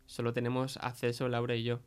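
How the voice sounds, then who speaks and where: A man's voice: mid-range voice, monotone, a little fast, clear, resonant tone, lightly acted. An actor playing a rattled, defensive young man recounting a creepy stalker in hesitant, slangy Castilian Spanish. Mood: rattled, insistent. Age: young adult. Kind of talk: conversational interview in an audio fiction podcast.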